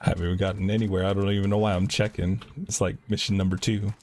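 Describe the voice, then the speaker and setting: A man casually commentating a game livestream and reading quest text aloud in a low-key way, with small stumbles and a husky voice. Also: level tone, fast, darkish tone.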